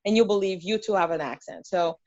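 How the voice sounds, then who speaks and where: A woman from Haiti with a Haitian accent, talking as an interview guest in an animated way with fillers and restarts. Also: medium pitch, fairly quick, no echo, highs cut off. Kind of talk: conversational interview.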